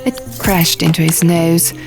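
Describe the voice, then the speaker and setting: A woman narrating fiction as a scripted, dramatic audiobook, hushed and often near a whisper. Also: lowish voice, steady tone, measured pace. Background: soft music.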